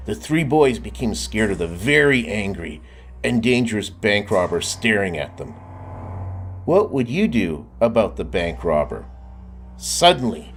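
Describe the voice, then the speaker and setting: A man reading a children's storybook aloud in a lively way, often stopping to ask the listener questions. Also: lowish voice, slightly rough. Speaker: storybook reader. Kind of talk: children's book read-aloud.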